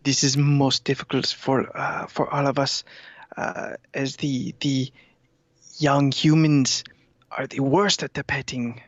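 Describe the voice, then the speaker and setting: A man voicing a haughty male talking cat with a slow, formal delivery. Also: medium pitch, level tone, no echo. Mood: solemn. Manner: slow formal delivery, speaks of cats as superior. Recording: dull lo-fi sound.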